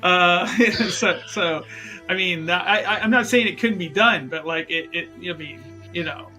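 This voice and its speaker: A man bantering casually as a player in a tabletop roleplaying game, halting and repeating his words. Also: high voice, sharp tone, soft background music, poor audio.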